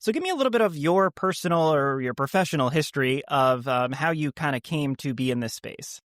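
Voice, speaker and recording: Podcast host, friendly and measured. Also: male voice, fairly high pitch, lively intonation, no room sound, studio quality. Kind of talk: podcast interview hosting.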